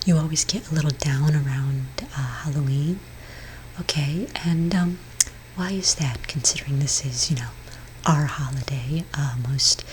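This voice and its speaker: A woman speaking in a breathy whisper for a soft-spoken ASMR roleplay, playing a gentle, hesitant therapist for witches. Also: low voice, slight background noise, low-quality recording. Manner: gentle, hesitant, halting counsel.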